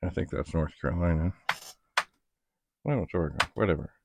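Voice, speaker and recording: A man thinking aloud in a halting, casual ramble as he hosts a hands-on hobby demonstration, muttering to himself. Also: low-pitched, gravelly, dull audio, background noise. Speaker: retro computer hobbyist host. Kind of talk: casual rambling demonstration while testing equipment.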